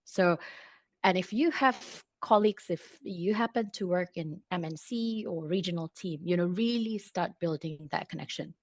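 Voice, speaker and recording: A woman's voice: fairly low pitch, normal speed, darkish tone, dry recording. A woman in her thirties from Indonesia answering on a video-call panel, talking conversationally, repeating words and often adding "right?".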